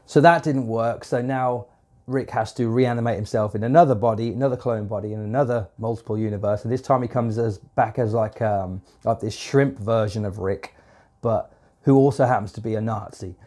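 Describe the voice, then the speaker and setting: A man's voice: fairly low pitch, a little fast, darkish tone. A man with a cold and a stuffed-up nose, casually reviewing a show episode in a rambling way, with lots of fillers and swearing. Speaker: video reviewer.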